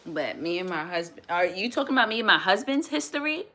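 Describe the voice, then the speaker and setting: A woman, hosting a casual live stream from home, chatty and quick to laugh, often restarting her sentences as she answers viewer comments. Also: medium pitch, big pitch swings, bright, forceful tone. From New York City, USA. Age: 30s. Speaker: livestream host.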